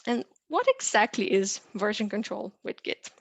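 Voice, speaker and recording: A young woman from Romania walks listeners through a coding tutorial, explaining steps clearly and pausing on "uh" and "um". Her voice sits at an ordinary middle pitch. The recording is close and dry, with no room sound. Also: very animated, dry recording. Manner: clear explanatory delivery with frequent "uh" and "um". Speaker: software engineer teaching a lesson. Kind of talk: presenting a tutorial.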